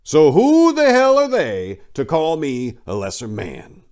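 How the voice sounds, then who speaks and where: A man's voice: fairly high pitch, wildly swooping pitch, a little slow, a little mellow. A man reading a memoir aloud as a dramatic book reading, with an emphatic, declamatory delivery. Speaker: reader of a memoir.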